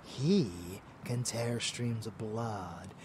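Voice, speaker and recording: A man's voice: medium pitch, very slow, darkish tone, slight background noise. A man playing a murderous, doting vampire lover in an intimate ASMR audio roleplay, drawling and often dropping into whispers. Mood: boastful, menacing. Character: rebellious murderous male vampire lover, doting yet bloodthirsty.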